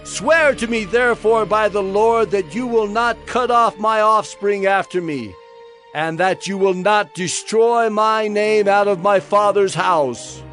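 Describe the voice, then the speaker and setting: A man's voice: sits high, a little slow, fairly bright tone, soft background music. A man acting a humbled older king in a dramatized Bible story, declaiming with emotional, pleading remorse. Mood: tearful remorse. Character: remorseful older king, weeping, humbled before his rival.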